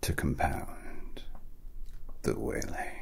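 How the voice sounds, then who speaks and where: Man playing a cold male villain, menacing ASMR roleplay, gravelly half-whisper, very slow deliberate delivery, calculating. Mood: quietly menacing. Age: young adult. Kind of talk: soft-spoken ASMR roleplay.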